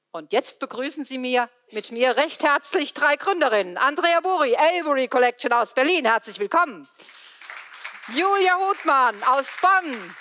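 A middle-aged woman speaking emphatically and deliberately as a moderator on an indoor stage, wearing a headset microphone. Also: sits high, sharp tone. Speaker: moderator of a panel talk. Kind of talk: stage presentation and panel moderation.

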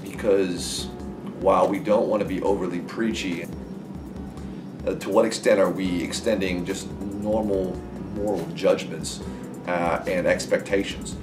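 A man's voice: low-pitched, steady tone, measured pace, distant mic, music in the background. A middle-aged man, a teacher, thinking aloud in an interview in a classroom. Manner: thoughtful, questioning himself. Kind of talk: reflective interview.

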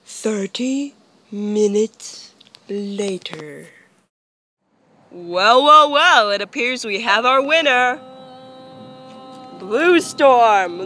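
A woman's voice: fairly high pitch, a bit bright. A young girl hosting a home video with toy animals, announcing events in a playful way. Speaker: host of a toy animal show.

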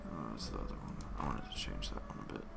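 A man hosting a casual, unscripted software tutorial, thinking aloud in short, halting phrases. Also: a bass voice, creaky, over loud music.

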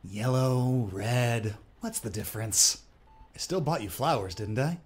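A man's voice: medium pitch, measured pace, slightly rough. A man playing a pushy, aggravated ex-boyfriend who needles and gets defensive in an audiobook drama. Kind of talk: audiobook character dialogue.

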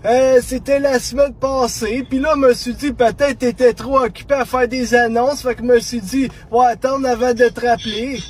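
A man's voice: very high-pitched, fairly even intonation, moderate pace, few pauses, slightly rough. A man putting on a whiny, pushy, dim prank caller with a Quebec French accent, rambling in a childlike way. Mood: hesitant, rambling. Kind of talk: prank phone call. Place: inside a parked car.

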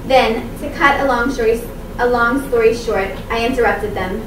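Woman reading at a seminar, slightly high voice, far off mic in a roomy hall w/ some noise. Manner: measured, careful reading with small restarts. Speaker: conference speaker reading excerpts. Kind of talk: reading a translated text aloud. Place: seminar room at a conference table. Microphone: far room mic. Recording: echoey room, far from the mic, background noise.

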